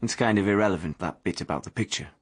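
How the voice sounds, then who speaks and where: An actor playing a wry, confiding working-class young drifter, narrating a radio drama in colloquial speech. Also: male voice, low voice, expressive, fast talker. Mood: reflective. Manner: colloquial, non-standard grammar.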